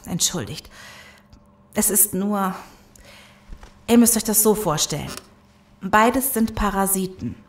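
An earnest female scientist played by an actress in a horror audio drama. Her voice sits at a middle pitch and rings with room echo. She sounds like someone explaining grim research. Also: lively intonation, slow, long pauses. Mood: serious. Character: earnest scientist woman explaining a zombie virus. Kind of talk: German audio drama acting.

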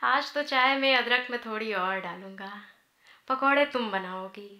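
Bright-voiced woman reciting poetry, roomy sound. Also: a little high, measured pace. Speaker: poet. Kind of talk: live poetry recitation, narrative free verse. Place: indoor auditorium stage at a podium. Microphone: gooseneck podium microphone, close. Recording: roomy auditorium sound through PA microphone.

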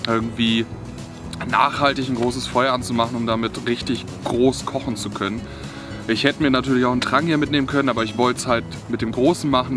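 A young man chatting casually and colloquially to camera in a laid-back way, outdoors in the woods. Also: mid-range voice. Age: young adult. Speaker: outdoor vlogger.

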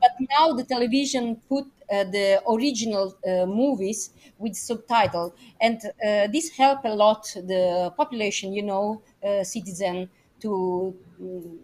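A woman with an Italian accent speaking English as a guest on a video call, halting and full of fillers. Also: medium pitch, a little mellow. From Italy. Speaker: guest pianist. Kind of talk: casual chat.

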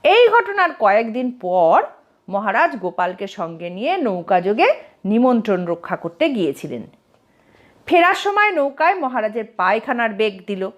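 Animated, high-voiced woman storyteller. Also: normal speed, muffled recording. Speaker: storyteller, narrator. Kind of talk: scripted comic story narration.

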